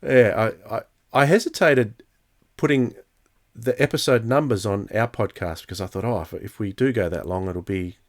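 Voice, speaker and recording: A man's voice: fairly low pitch, moderate pace. A man hosting a podcast, chatting casually and thinking aloud as he goes. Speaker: podcast host.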